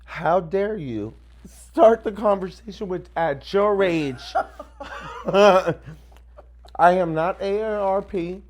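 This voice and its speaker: A woman's voice: mid-range voice, slow pace, darkish tone. A woman in her forties chatting casually as a podcast co-host, blunt and cheeky. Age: 40s. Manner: blunt, cheeky, swears freely. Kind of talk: casual chat.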